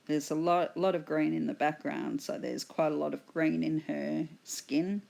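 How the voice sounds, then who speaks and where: A woman with an Australian accent, hoarse from a cold, patiently explaining her painting steps in a tutorial voice-over. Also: low-pitched, fairly even intonation, darkish tone. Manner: slow, patient explaining with fillers. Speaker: art instructor.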